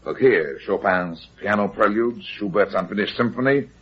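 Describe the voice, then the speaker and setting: An actor playing a wisecracking, unflappable heavyset private detective in a vintage radio drama, wry and sardonic. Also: male voice, on the low side, normal speed, breathy edge. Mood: confident, explaining. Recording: muffled vintage radio recording.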